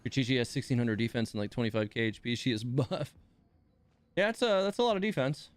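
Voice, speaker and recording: A man's voice: medium pitch, big pitch swings, fast talker. A man giving casual live game commentary as a streamer, thinking aloud in a laid-back way.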